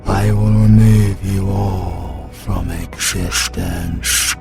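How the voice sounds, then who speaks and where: A British man voicing the cold, menacing dark side of a possessed demon hunter in a fantasy audio drama. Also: fairly even intonation, heavily acted. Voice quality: gravelly, very deep. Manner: slow, cold, ominous pronouncements. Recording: music underneath.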